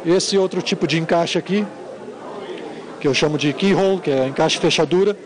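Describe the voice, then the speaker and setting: A southern Brazilian man explains his craft informally in an interview. His voice is fairly high, with clear crowd chatter from a busy hall behind him. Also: moderate pace. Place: large indoor fair hall.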